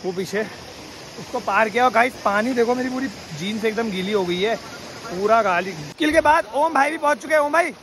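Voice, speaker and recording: A man's voice: extremely high pitch, brisk, fairly bright tone. A man in his twenties vlogging casually to a phone held at arm's length, outdoors by a river and later in a car. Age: 20s. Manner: chatty, talks straight to camera. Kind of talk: casual vlog narration. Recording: noisy outdoor phone audio.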